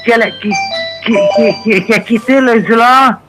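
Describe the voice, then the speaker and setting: A woman whose first language is Portuguese, calling in on the phone and speaking French haltingly, with many restarts and fillers. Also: a little high, over loud music. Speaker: caller. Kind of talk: phone conversation.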